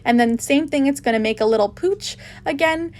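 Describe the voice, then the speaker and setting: A woman's voice: high voice, fairly quick. A woman hosting a craft tutorial, narrating casually with gushing enthusiasm.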